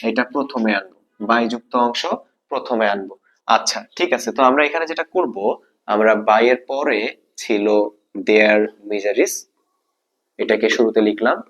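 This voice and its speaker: A man's voice: on the low side, normal speed, long pauses, a bit bright, muffled recording. A man with a Bangladeshi accent teaching a grammar lesson step by step, often asking questions and answering them himself, repeating key points. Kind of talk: exam-prep grammar lesson, explaining step by step.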